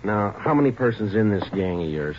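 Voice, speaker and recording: An actor as a deep, clipped police detective. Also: male voice, animated, fairly quick, close to an everyday voice. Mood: businesslike. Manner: clipped, matter-of-fact, pressing. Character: firm, businesslike male police detective, relentless interrogator. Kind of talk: 1940s radio drama acting. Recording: noisy, muffled 1940s radio recording.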